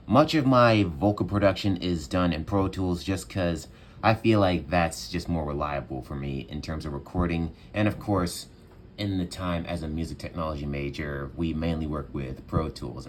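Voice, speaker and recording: Deep-voiced young man, chatty and relaxed. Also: moderate pace, slight background noise. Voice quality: bassy voice. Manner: relaxed, chatty, many fillers. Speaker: home music producer. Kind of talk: casual tutorial walkthrough. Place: small home studio room.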